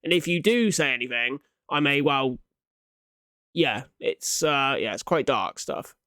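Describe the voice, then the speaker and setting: A man's voice: medium pitch, lively intonation, measured pace, sharp tone, no echo, clean studio sound. A young man giving casual reaction commentary, thinking aloud in an informal, sweary way. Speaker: reaction video host.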